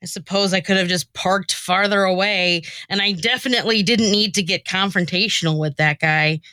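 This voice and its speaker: A woman's voice: medium pitch, steady tone, moderate pace, sharp tone, no room sound, close to an everyday voice. A woman playing an exasperated, easily riled comic version of herself in amateur radio theater, with a slangy, theatrical delivery. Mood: sheepish, weary. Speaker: podcast host and scriptwriter.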